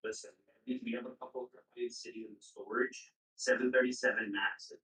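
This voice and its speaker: A man's voice: mid-range voice, fairly even intonation, brisk, bright, forceful tone. A man joking and teasing in casual banter on a trading stream. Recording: distant echoey mic.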